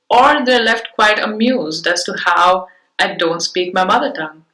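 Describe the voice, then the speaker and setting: A young woman from India, raised on Punjabi at home, giving a prepared conference talk in a reflective, measured, storytelling way. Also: fairly low pitch, bright, forceful tone. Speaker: conference speaker. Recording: echoey room.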